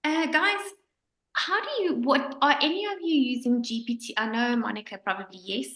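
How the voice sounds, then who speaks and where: Excitable woman in an echoey room. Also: sits high, normal speed. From Northern Ireland. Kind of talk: casual group video chat.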